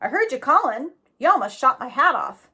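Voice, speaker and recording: Brief: jovial backwoods outlaw man, put on by a woman reader, drawling, lively pitch. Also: a little fast, heavily acted. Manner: drawling backwoods grammar, chuckling. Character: big folksy reformed outlaw man, jovial.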